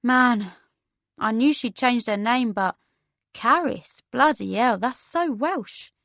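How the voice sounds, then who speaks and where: A woman's voice: a little high, slow, fairly bright tone, dry recording. A woman voicing a friendly, laid-back young London woman in an audiobook, breezy and informal with a London accent. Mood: breezy, helpful. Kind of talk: casual slangy character dialogue in an audiobook.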